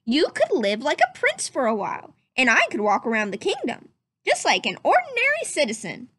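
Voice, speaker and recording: A woman's voice: sits high, wildly swooping pitch, normal speed, bright, forceful tone, no echo, heavily acted. A woman voicing a self-assured, bored young prince in a children's storybook, emphatic and playfully commanding. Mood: scheming, restless. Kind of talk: children's storybook read-aloud.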